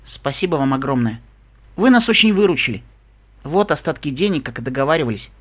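A man's voice: fairly high pitch, animated, a little fast, a bit bright. A man voicing a confident, courteous female pony sorceress in an audiobook, polite and wry. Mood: grateful. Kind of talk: audiobook character voice.

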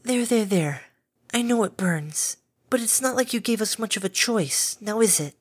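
A woman voicing a timid, gentle female pegasus pony who speaks softly and soothingly. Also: on the high side, lively intonation, moderate pace, slightly rough, pitched above the performer's own voice. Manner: soft, soothing, gently pleading.

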